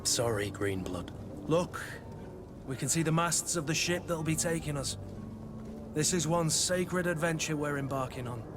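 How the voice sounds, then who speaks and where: Soldier-for-hire, wry and blunt, slightly raised male pitch, game dialogue over loud music. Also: lively intonation, unhurried. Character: wry, world-weary male mercenary bodyguard, loyal and blunt. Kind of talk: video game cutscene acting.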